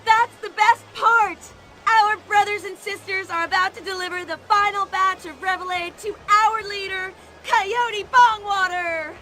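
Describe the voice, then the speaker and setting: Super high gushing hippie chick, bright, fervent, game cutscene, bit of noise. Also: female voice, steady tone, unhurried, heavily acted. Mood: fervent, excited. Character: excitable, devoted young female hippie cultist. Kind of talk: video game cutscene acting.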